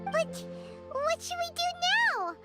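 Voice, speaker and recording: Tiny fretful fairy girl for a game, super high squeaky voice, loud music behind. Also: very animated, slow pace, full character voice. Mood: worried. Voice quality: squeaky, childlike. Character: tiny childlike female fairy companion, chirpy and fretful. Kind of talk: video game voice acting.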